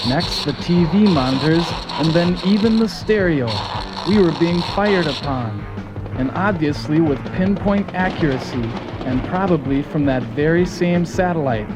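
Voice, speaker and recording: A man's voice: fairly high pitch, moderate pace, natural voice, low-quality recording. A man playing a folksy, gung-ho soldier who narrates his team's mission in a scripted audio drama. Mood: earnest storytelling. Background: music.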